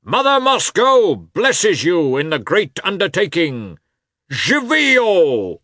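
A man voicing a fervent gentleman orator in a classic novel, declaiming loudly and tearfully. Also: sits high, huge pitch swings, slow pace, no room sound, clean studio sound, voice pushed up, exaggerated voice. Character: fervent gentleman orator making a patriotic toast. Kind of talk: audiobook character voice in a classic novel.

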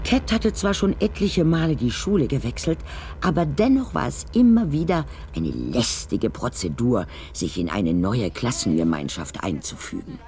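Eine Frau erzählt in einem deutschen Hörspiel nach Manuskript. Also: female voice, rumbling low, sing-song, moderate pace, mellow, slight background noise. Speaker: narrator. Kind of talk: scripted German audio drama narration.

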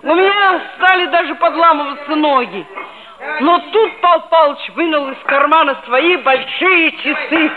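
A woman's voice: high voice, unhurried, a crowd in the background. A woman playing a boastful, touchy schoolboy who tells his story expressively and earnestly in a 1960s Soviet radio play. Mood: earnest storytelling. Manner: expressive, self-important, earnest. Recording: dull old radio recording, faint music.

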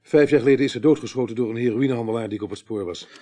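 A man's voice: medium pitch, rapid-fire, dark tone, dry recording, close to an everyday voice. A man playing a middle-aged, plain-spoken police inspector in a radio drama, dry and understated. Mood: awkward, matter-of-fact. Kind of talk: 1980s Dutch radio drama acting.

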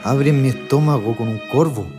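A man's voice: mid-range voice, fairly quick, over music, natural voice. A man with a Chilean accent playing an anguished young political prisoner in a radio drama. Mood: anguished, haunted. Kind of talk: radio drama monologue and acting.

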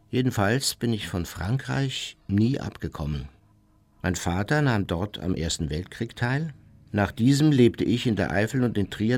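An actor playing an old, unrepentant war criminal who recounts his life in a formal, matter-of-fact way for a radio drama. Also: fairly low pitch.

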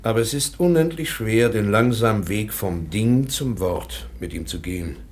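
A man's voice: lowish voice, expressive, normal speed, lightly acted. A man playing a patient, earnest professor who explains things carefully in a radio play. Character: patient, earnest male professor and foster teacher. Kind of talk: German radio play acting.

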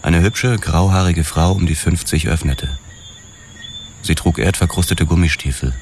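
A man's voice: deep voice, normal speed, creaky, darkish tone, slight background noise. An actor playing a world-weary, haunted police investigator who narrates his past in a noir radio drama.

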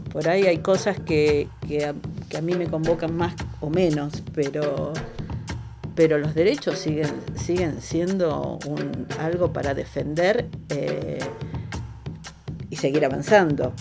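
An Argentine woman being interviewed, reflective and pausing to find her words. Her voice has a lot of creak, and music plays clearly behind her. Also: low-pitched.

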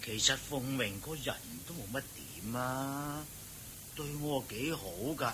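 A man's voice: unhurried, close to an everyday voice. An actor playing a meek, muddled old father in a radio drama, with a Hong Kong Cantonese accent and a hesitant, stammering delivery. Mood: concerned, meek. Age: older. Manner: hesitant, stammering, repeats himself. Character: muddled, meek old father begging from his married daughter. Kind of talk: Chinese radio drama acting. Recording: noisy radio drama recording with rumble.

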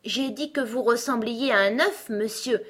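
A woman voicing a polite, gentle seven-year-old girl in a storybook, with an earnest, courteous manner. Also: sits high, animated, normal speed, voice pushed up. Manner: polite, soft-spoken, earnest. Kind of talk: storybook character acting.

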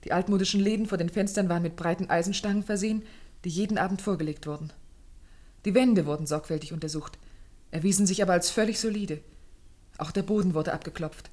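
A woman's voice: on the low side, fast talker, a little mellow, close to an everyday voice. Woman playing an anxious well-bred young lady. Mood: earnest, troubled. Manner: earnest, formal, carefully articulated storytelling. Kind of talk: German radio play acting.

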